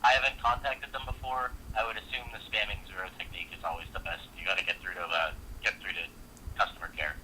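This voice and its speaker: A man with a low, very bright and forceful voice handles a customer service call over the phone. He is polite and apologetic but informal, with faint noise on the line. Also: steady tone, quick pace, rough recording. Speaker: customer support agent. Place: on the other end of a phone call.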